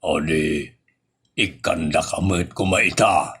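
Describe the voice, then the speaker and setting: A man's voice: sits low, takes it slowly, a bit bright, husky, full character voice. A man playing a broke, resigned friend in a comedy audio drama, drawling hesitantly and placatingly.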